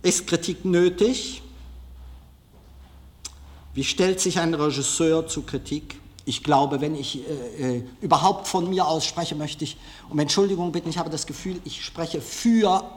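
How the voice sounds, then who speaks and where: A man speaking in a reflective personal monologue, deliberate and emphatic, with long pauses. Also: fairly high pitch. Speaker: film director.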